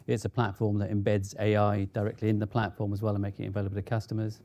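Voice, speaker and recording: A man speaking as a panelist in a measured, explanatory way. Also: fairly low pitch, completely flat delivery, warm tone. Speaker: enterprise architect, panelist.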